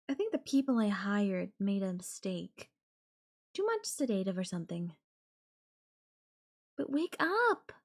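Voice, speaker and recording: Woman acting as obsessive yandere girlfriend, unhurried pace, mid-pitched, breathy cooing, sweet yet menacing, intimate audio roleplay. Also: a little mellow, exaggerated voice. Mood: coaxing. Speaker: voice actor.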